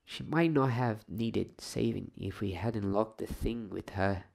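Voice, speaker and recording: A man's voice: on the low side, a little slow, vocal fry, darkish tone. A man voicing a matter-of-fact young schoolboy in a storybook reading, with a dry manner. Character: matter-of-fact young schoolboy, quietly correcting his friend.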